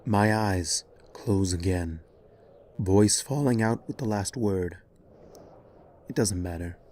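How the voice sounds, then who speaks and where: A man reading dramatic fiction as narrator in a somber, measured way. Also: low-pitched, a little mellow. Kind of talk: dramatic scripted story narration.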